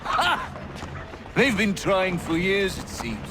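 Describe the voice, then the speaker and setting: A man's voice: sits high, sing-song, slow, noisy background, exaggerated voice. An actor voicing a wary, sarcastic male doctor in a video game. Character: bitter slandered male town doctor.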